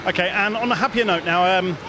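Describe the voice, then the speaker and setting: High-voiced male interviewer in a noisy venue. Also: quick pace. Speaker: interviewer for a fan video channel. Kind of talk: post-game interview questions. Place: indoor sports hall. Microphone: handheld interview microphone. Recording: noisy venue with background ambience.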